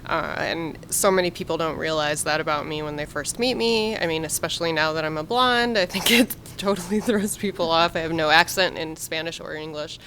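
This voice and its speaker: Curious, lower-voiced woman host. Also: a little fast, hardly any pauses, a bit bright, a little hiss or hum. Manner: curious, thoughtful questioning. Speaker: podcast host. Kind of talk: casual interview.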